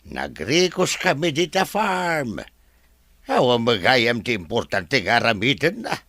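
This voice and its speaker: A flustered, obedient Filipino farm worker, acted by a man in a comedy radio play. His voice is husky and swings up and down as he haltingly defers and grumbles. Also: medium pitch, very animated, a bit bright, no room sound, full character voice. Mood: exasperated. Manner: hesitant, stammering deference. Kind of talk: comedy radio drama acting.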